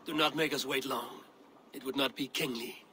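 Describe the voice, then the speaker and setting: An actor playing a bold, blunt Viking warrior who goads and mentors with wry command in a video game cutscene. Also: male voice, medium pitch, fairly even intonation, moderate pace, close to an everyday voice. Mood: stern, wry.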